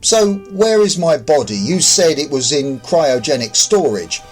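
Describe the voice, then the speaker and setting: A man's voice: on the high side, animated, measured pace, faint music behind. A man voicing an agitated, disoriented man in a sci-fi audio story, questioning and accusing. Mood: uneasy. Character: agitated, disoriented man revived in devastated world.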